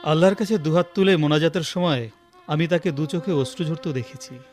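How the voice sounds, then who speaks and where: Male actor as kindly admonishing doctor, fairly high voice, explanatory, radio play, faint music. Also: brisk, darkish tone, natural voice. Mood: earnest. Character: earnest, talkative hospital doctor, kindly but admonishing. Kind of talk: Bengali radio drama acting.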